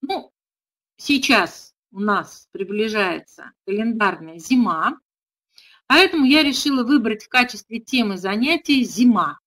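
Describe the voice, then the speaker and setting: A woman's voice: fairly high pitch, expressive, unhurried, fairly bright tone. Woman presenting a teacher webinar. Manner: explanatory, with frequent hesitation fillers. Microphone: webcam video call.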